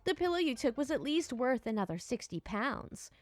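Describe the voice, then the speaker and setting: A woman's voice: sits high, very animated, normal speed, no echo. Woman putting on a breezy, stingy haggler. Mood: breezy, dismissive. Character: petty, penny-pinching woman haggling over a resale.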